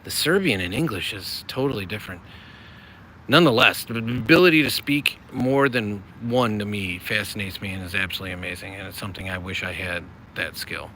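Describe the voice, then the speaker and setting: A man's voice: fairly low pitch, brisk, bright, forceful tone, a little hiss or hum, low-quality recording. A middle-aged American man hosting a casual livestream, rambling in a friendly way and reading chat messages aloud. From United States. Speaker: livestream host.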